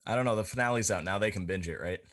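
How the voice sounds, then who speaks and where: A man's voice: on the low side, very fast, fairly bright tone. A man co-hosting a podcast, talking in a casual, rambling, informal way. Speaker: podcast co-host.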